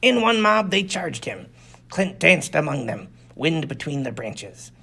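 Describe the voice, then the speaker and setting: A man's voice: fairly high pitch, very animated, a little slow, creaky, pushed and bright, husky. A man reading a science fiction adventure story as dramatic scripted narration. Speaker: audiobook reader.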